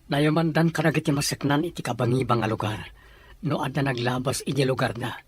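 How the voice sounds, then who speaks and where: A man's voice: medium pitch, fairly even intonation, brisk, slight background noise, close to an everyday voice. A man playing a sober boss who explains matters plainly in an audio drama, with an Ilocano accent. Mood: grave, explaining. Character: sober male boss, addressed respectfully as sir.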